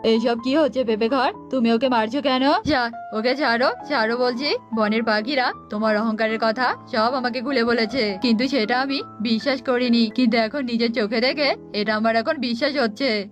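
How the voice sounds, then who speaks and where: A commanding holy mystic acted by a woman in a children's cartoon, with a high voice. The delivery is stern and authoritative over faint music. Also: normal speed, lightly acted. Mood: outraged. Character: stern tantric holy mystic who grants and punishes.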